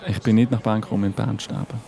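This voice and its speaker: A man's voice: on the low side, flat delivery, racing, gravelly, a crowd in the background. A man in his forties playing a man who faces death, speaking a reflective, anguished monologue in an audio drama. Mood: defiant. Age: 40s. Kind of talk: theatrical audio drama monologue over music.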